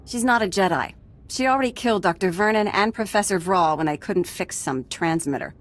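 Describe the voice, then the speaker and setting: An actress playing an exasperated, skeptical scientist in a video game, blunt and wary. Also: female voice, medium pitch, brisk, natural voice.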